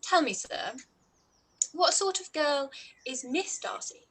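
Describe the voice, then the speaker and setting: A woman as a high-voiced, witty young gentlewoman. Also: animated, takes it slowly, exaggerated voice, rough recording. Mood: curious. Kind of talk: period radio play live read.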